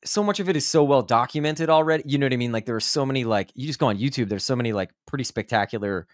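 Medium-pitched man chatting, dry close sound. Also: very fast, dry recording. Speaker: podcast panelist. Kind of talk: casual chat. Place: indoor room, close mic. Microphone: microphone on boom arm, headset.

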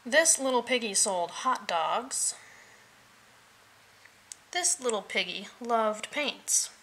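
A woman reads a children's picture book aloud with playful expression. Her voice is bright and fairly high, and she takes her time over the words. There is some light noise in the recording. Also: slow. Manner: expressive, playful, with vocal sound effects.

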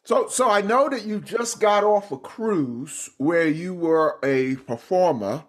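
High-pitched male podcast host. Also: animated, slow pace. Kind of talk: podcast interview, scripted introduction.

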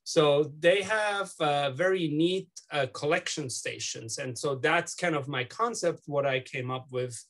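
A middle-aged man from Switzerland with a Swiss accent, interviewing someone over a video call from his home office, talking haltingly with many fillers and restarts. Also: on the high side, a bit bright. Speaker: student interviewer. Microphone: laptop webcam on video call.

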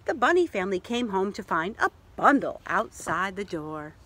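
A middle-aged woman reading a picture book aloud to children outdoors in an expressive way, pausing to ask questions. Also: medium pitch, slight background noise. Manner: expressive, asks listeners questions. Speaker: library story reader. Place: outdoors in a leafy yard.

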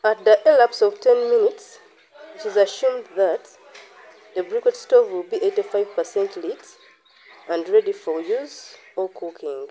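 A young woman explains a task step by step in a calm, instructive way. Her voice is mellow and warm at an ordinary pitch. It is recorded outdoors on a phone. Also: unhurried, low-quality recording. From Uganda. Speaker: channel host. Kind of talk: how-to voice-over.

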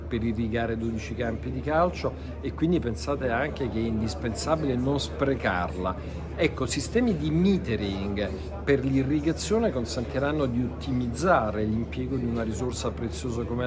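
A middle-aged man, a company executive, gives a measured, explanatory interview at a busy exhibition hall. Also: mid-range voice. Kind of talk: trade fair interview. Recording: chattering crowd behind.

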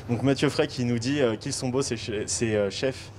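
A man's voice: medium pitch, steady tone, unhurried, darkish tone, a little hiss or hum. A young man from Lyon, a student hosting a live cooking show, speaking hesitantly with frequent filler sounds. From Lyon, France. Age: young adult. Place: teaching kitchen, indoors. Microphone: handheld mic.

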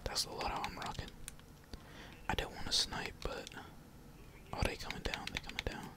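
A gamer whispering slangy, laid-back ASMR commentary while playing, with casual swearing. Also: normal speed. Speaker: gaming streamer.

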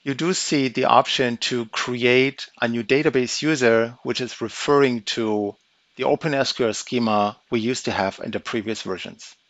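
Man walking through a software demo. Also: medium pitch, moderate pace, dry recording. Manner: methodical, step-by-step explaining. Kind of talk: screen-recorded software walkthrough. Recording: dry, slightly dull audio.

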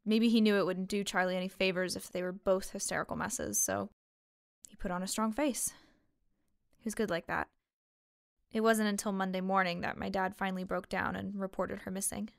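A woman acts out a young waitress in a fiction podcast who keeps her guard up over her grief. She talks plainly and haltingly at a medium pitch, weary and a little defensive, in a very dry recording. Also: little pitch movement, gravelly, no echo, lightly acted. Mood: subdued, explaining. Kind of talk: fiction podcast drama acting.

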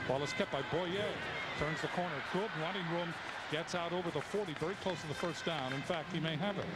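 A man calling live college football play-by-play, reeling off player names and statistics. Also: fairly high pitch. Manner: steady, reels off names and statistics. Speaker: play-by-play announcer. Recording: noisy old TV broadcast audio.

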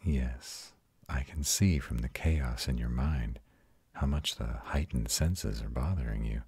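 A man plays a proud vampire comforting his mate in an intimate audio roleplay. His voice is extremely low and thoroughly husky, unfolding slowly and softly with tender, protective care. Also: dry recording, exaggerated voice. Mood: reassuring. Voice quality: very deep, husky, often breathy whispering. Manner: slow, hushed, soothing. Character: arrogant male vampire, tender and protective lover. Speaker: voice actor.

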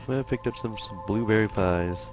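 A man's voice: fairly low pitch, moderate pace. A man hosting a gameplay video in casual co-op chat. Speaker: host gamer. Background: faint music.

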